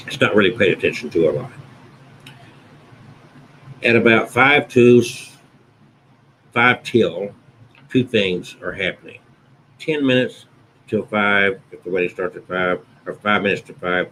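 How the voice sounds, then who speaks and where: A man with a very low, booming voice explains how a ceremony usually runs. He talks plainly and at an easy pace, circling back to restate his points. A faint room hiss sits under the recording. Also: bright, forceful tone, poor audio. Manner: unhurried, conversational, repeats and restates points. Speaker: wedding officiant. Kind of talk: instructional talk to camera.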